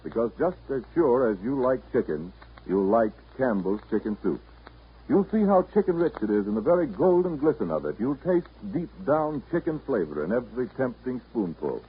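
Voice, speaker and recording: A male radio announcer giving a savoring, dramatic 1930s sales pitch. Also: sing-song, normal speed, husky. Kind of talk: 1930s radio advertising read. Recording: tinny 1930s radio recording.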